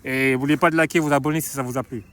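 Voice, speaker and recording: A man's voice: mid-range voice, fairly even intonation, very fast, a bit bright. A young man talking casually in a vlog, slangy and rambling, restarting and repeating phrases. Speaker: YouTuber promoting his book.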